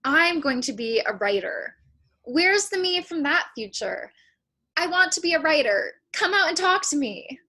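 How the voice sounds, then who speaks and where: A woman's voice: sits high, big pitch swings, measured pace, bright, forceful tone, heavily acted. A woman playing an idealistic, stubborn young girl in a comedy play reading, emphatic and indignant. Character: idealistic, stubborn young girl meeting her future selves. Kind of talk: comedy play read aloud.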